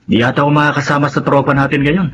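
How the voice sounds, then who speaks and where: Male actor as henpecked broke pal, slightly high voice, Filipino accent, radio drama style. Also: flat delivery, rapid-fire, fairly bright tone, lo-fi sound, slight background noise, close to an everyday voice. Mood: complaining. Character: broke, henpecked, grateful drinking buddy and workmate.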